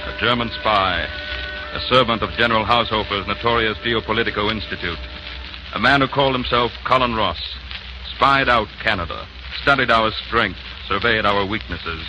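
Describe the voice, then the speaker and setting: A man's voice: medium pitch, noisy background. A man narrating a 1940s radio broadcast as announcer, in a formal, solemn manner. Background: music.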